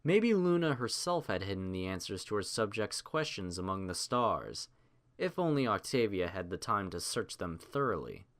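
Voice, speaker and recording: A man with a lowish voice narrates a scripted story. His delivery is measured and theatrical, with a lively, wide-moving intonation suited to an audiobook.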